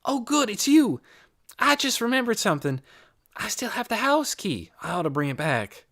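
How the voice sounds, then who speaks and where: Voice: medium pitch, big pitch swings, moderate pace, fairly bright tone, pitched above the performer's own voice. A narrator voicing a casual, matter-of-fact and slightly impatient girl in an audiobook. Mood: hurried. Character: plain-spoken, hurried, slightly impatient girl. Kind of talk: audiobook character voice.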